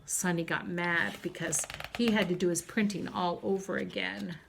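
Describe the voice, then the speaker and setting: A woman reading a children's storybook aloud like a teacher, in an expressive, deliberate storytelling manner. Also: fairly low pitch, vocal fry, background noise.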